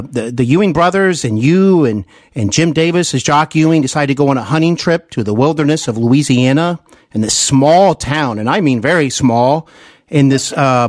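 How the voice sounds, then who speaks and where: A man's voice: on the high side, no echo. Enthusiastic male podcast host, close dry sound. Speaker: podcast host interviewing an actor. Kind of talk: conversational interview with long, detailed questions.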